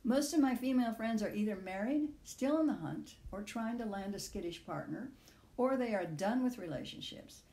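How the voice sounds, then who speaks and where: An older woman reading her own personal essay aloud in measured, deliberate phrases. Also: on the high side, expressive, dark tone, echoey room.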